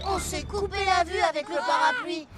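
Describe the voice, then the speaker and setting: An eager young boy from a French cartoon dub. The voice sits very high and excitable, with loud music playing behind it. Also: normal speed, over a noisy crowd. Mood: sheepish.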